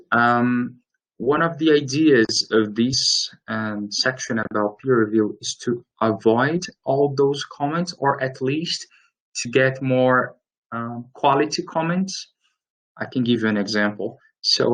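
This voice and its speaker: A man's voice: medium pitch, a bit bright, poor audio. Brazilian man presenting an online training session. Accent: Brazilian Portuguese. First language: Portuguese. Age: late 30s. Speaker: webinar presenter. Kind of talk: instructional slide presentation, semi-scripted talk.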